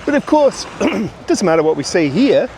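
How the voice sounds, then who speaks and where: Teasing man over loud street noise. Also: high-pitched, very animated, moderate pace. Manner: teasing, joking banter. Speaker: restaurant reviewer host. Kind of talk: casual vlog chat at the table.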